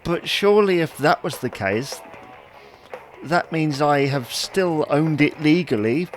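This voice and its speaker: A man's voice: fairly high pitch, animated, unhurried, soft background music, rough recording. A man playing a chatty, fussy, wryly puzzled husband in an audio sitcom. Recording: noticeable background noise.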